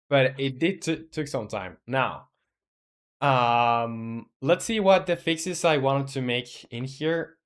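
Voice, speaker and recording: A man in his twenties chatting casually on a live stream as a game developer, rambling and thinking aloud with many fillers while answering chat questions. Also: mid-range voice. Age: 20s.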